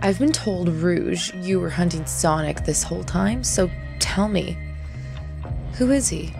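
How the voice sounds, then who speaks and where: A woman's voice: on the low side, unhurried, vocal fry. A woman playing a ruthless middle-aged female general who gives orders in a commanding, cruel way. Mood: cold. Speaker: military general. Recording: music bed underneath.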